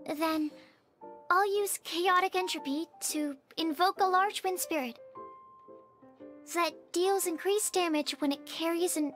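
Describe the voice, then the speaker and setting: A woman's voice: extremely high pitch, a little slow, faint music behind. A woman voicing a polite, earnest and timid young woman in an anime video game, speaking courteously and with some hesitation. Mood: shy, earnest. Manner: courteous, hesitant, soft-mannered.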